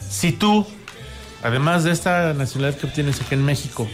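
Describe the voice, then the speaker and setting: A Mexican man co-hosts a radio talk show. His mid-pitched voice explains things conversationally, with soft music underneath. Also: fast. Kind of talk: casual explanatory chat.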